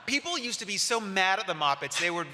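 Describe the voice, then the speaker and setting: Male, high voice with wildly varied pitch, casual storytelling, noisy reverberant hall. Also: big pitch swings, fast talker. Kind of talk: animated casual chat.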